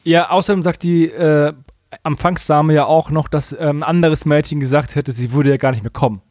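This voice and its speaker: Fairly high-pitched man, casually joking. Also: steady tone, normal speed, a little mellow, dry recording, studio quality. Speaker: podcast co-host. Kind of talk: casual humorous chat recapping an audio drama.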